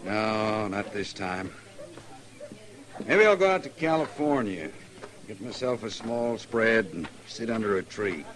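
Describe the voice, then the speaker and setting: A man's voice: lowish voice, lively intonation, unhurried, a bit bright. An American man playing a weary, proud former lawman and feared gunfighter in a 1950s Western radio drama. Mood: resigned. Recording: old radio recording with faint noise.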